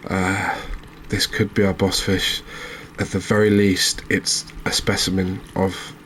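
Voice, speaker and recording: A man's voice: low-pitched, level tone, measured pace, a bit bright, a little hiss or hum. A man hosting a cozy ASMR gaming video, commentating on his play in a rambling, musing way with mild exclamations. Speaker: gaming channel host.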